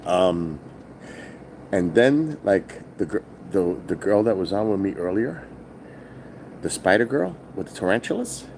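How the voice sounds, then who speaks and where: A loud-voiced middle-aged man, streaming casually from his phone. His voice sits a little low but swings widely in pitch as he tells a profane, rambling story. A faint hum of background noise sits under him. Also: big pitch swings, measured pace, gravelly, pauses often, poor audio. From New York. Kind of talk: casual live-stream vlog. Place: hotel, indoors.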